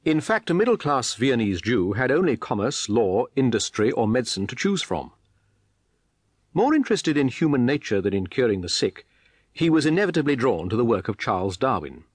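A man's voice: medium pitch, very animated, normal speed, breathy edge, no room sound. A man reading a scripted biographical documentary narration in a formal, articulate, explanatory manner.

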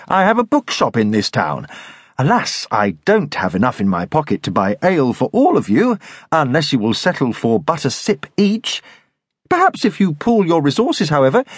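A man's voice: fairly high pitch, wildly swooping pitch, breathy edge, dry recording, full character voice. A man voicing an educated bookseller who speaks politely and carefully with dry wit. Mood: wry polite. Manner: polite, measured, wry.

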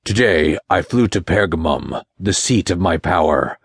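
A man's voice: deep voice, expressive, measured pace, creaky, slightly rough, no room sound, clean studio sound, full character voice. A man voicing a pompous, menacing Satan, wrathful king of demons, in a dramatic first-person audiobook narration. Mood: haughty.

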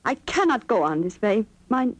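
An actress playing a proud, troubled young Viennese concert pianist with a German accent, formal and earnest in stilted English, in 1940s radio drama. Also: female voice, a little high, sing-song, normal speed. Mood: distressed. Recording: vintage 1940s radio broadcast recording.

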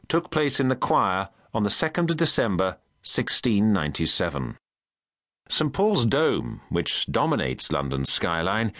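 A British man reading scripted guidebook narration as an audio tour guide, in a measured, formal, clearly enunciated delivery. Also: lowish voice, a bit bright, no room sound, studio quality. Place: recording studio.

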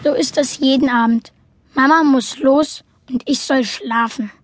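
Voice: high-pitched, fairly even intonation, measured pace, fairly bright tone. An actor playing an earnest, quirky young boy who narrates his story matter-of-factly in a children's audio drama. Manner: matter-of-fact, childlike, explanatory. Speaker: narrator and main character.

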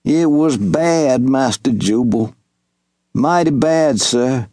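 A man voicing a loyal, humble elderly farm servant who speaks in a slow, earnest Southern rural drawl. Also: medium pitch, dark tone, no echo, studio quality, natural voice. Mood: grave. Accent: Southern US rural dialect. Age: older.

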